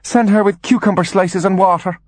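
A man's voice: high-pitched, very animated, moderate pace, no echo, pitched above the performer's own voice. A man voicing a frail, bedridden mother in an audiobook, imperious and fretful, with a plaintive, crooning, dramatic delivery. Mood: cold, suspicious.

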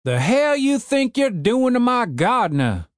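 A man voicing a cranky old rural man who keeps zombie servants, grumbling in a gruff, drawling way. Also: very high-pitched, sing-song, measured pace, dry recording, clean studio sound, voice pushed up, heavily acted. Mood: angry. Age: older.

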